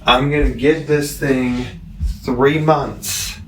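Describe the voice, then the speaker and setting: Casual male vlogger, unhurried and rambling review delivery with halting self-corrections, recorded roomy and distant from the mic. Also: mid-range voice, fairly even intonation, a little hiss or hum. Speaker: amateur product reviewer.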